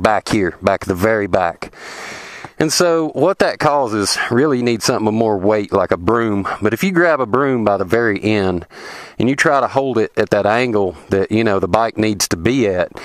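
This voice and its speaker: A man with a Southern US accent giving a rambling, relaxed how-to talk outdoors on a remote forest road, a little congested from a cold. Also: on the low side, clean tone, no echo. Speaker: motorcycle vlogger. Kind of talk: casual how-to talk to camera. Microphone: helmet camera.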